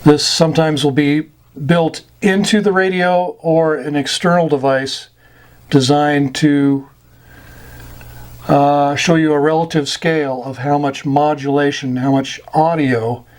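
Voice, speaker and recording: A man with an American accent and a slightly higher-than-average voice walks through technical terms like an informal glossary lesson. He is plain-spoken and halting, breaking his explanations into short phrases with frequent pauses. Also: fairly even intonation. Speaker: instructor explaining CB radio terms.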